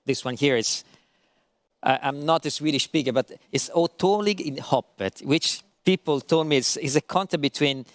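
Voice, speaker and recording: Male moderator, animated and playful, halting phrasing, close dry mic. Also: on the high side, no room sound. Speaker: moderator, professor. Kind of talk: moderating a panel.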